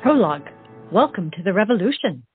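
A woman's voice: medium pitch, big pitch swings, brisk. A woman narrating a comic stage play in a theatrical, scripted storytelling style. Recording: tinny phone-like sound, music behind. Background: music.

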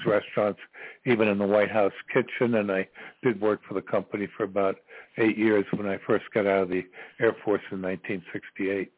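An older man in his seventies calling in to a radio show, spelling things out slowly in an earnest, grandiose way. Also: on the low side, no echo. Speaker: caller. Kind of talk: call-in radio talk. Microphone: telephone. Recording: tinny phone line.

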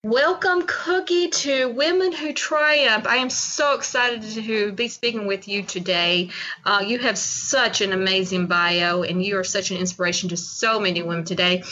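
A woman's voice: medium pitch, normal speed, sharp tone, muffled recording. A woman hosting an interview show, effusive and full of fillers like "you know". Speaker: podcast host.

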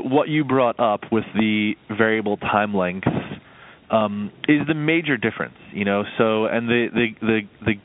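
A man talking casually as an interview guest, explaining his composing work in a meandering, conversational way. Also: fairly low pitch. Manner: explanatory, meandering, with frequent "you know". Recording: muffled narrowband phone-like line.

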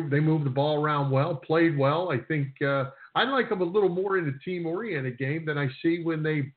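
A man co-hosting a sports radio show in a casual, conversational style. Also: a little high. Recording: narrow phone-like radio sound.

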